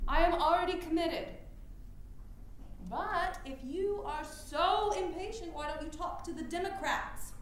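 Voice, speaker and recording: An actress playing a pragmatic, independent woman orator in a staged historical drama, speaking in a firm, measured theatrical way. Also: extremely high pitch. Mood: firm, defensive. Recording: very echoey room with faint noise.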